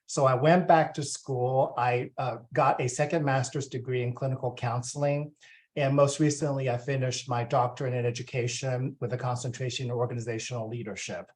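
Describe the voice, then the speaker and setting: A man in his forties from Taiwan, a university student affairs vice president, talking to families in a relaxed way with many ums and uhs. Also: medium pitch, brisk. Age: 40s. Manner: conversational with frequent ums and uhs. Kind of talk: presenting to families at a welcome session.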